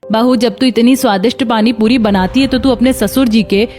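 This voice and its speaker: Woman as a caring older mother-in-law, mellow. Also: fairly high pitch, steady tone, normal speed, faint music behind, lightly acted. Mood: encouraging. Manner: soothing, advising. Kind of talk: children's cartoon story.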